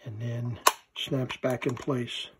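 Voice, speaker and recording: Male collector demoing gear, unhurried and halting, casual and unscripted, slight background noise with clicks. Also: mid-range voice, little pitch movement. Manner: halting, thinking aloud in short fragments. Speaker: vintage electronics collector presenting his item. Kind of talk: casual unscripted hands-on product demonstration.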